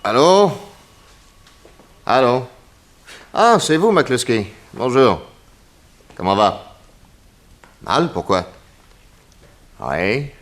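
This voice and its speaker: An actor playing an urbane, easygoing gentleman detective in a 1960s French radio drama, with a genial, unhurried manner. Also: medium pitch, very animated. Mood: businesslike.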